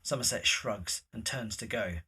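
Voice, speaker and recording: Male narrator for scripted drama: forceful, raspy, mid-pitched, reads scene directions. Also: moderate pace.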